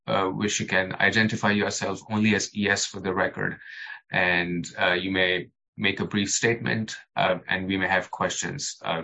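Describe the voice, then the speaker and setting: Very deep gravelly man chairing a hearing. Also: sharp tone, poor audio. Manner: formal, many uh fillers. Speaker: board chair running a hearing.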